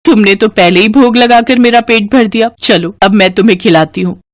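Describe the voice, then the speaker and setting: A woman's voice: medium pitch, fairly quick, dry recording, studio quality, lightly acted. A woman playing a loving, motherly goddess in a devotional storybook tale, speaking tenderly and reassuringly. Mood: caring. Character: loving motherly goddess disguised as the orphans' aunt. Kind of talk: Hindi devotional storybook acting.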